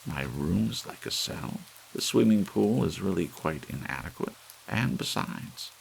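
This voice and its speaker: A man's voice: low voice, gravelly, a little hiss or hum, pitched above the performer's own voice, heavily acted, rough recording. A man voicing an ancient, rickety widow, fretful and pious, in a storybook reading.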